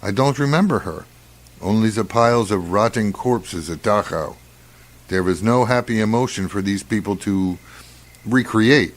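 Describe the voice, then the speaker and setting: A brooding space-crew doctor acted by a man in a radio play, with a slightly low voice. He speaks deliberately and ominously, as someone who suspects a trap. The style is old-time dramatic science fiction. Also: lightly acted. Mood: haunted. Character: brooding, suspicious male doctor and space crewman. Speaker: actor in amateur radio play.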